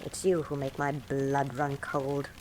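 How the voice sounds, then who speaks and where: A woman's voice: rumbling low, monotone, unhurried, darkish tone, slight background noise. An actress playing a composed, defiant, scornful lady held captive in a radio drama.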